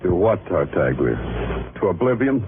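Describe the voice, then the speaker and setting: A man's voice: deep voice, big pitch swings, normal speed, heavy background noise. A man acting a hard-boiled, sardonic New York police lieutenant in 1940s radio crime drama style. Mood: bitter, brooding. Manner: clipped, sardonic, brooding. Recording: muffled vintage radio recording, music behind.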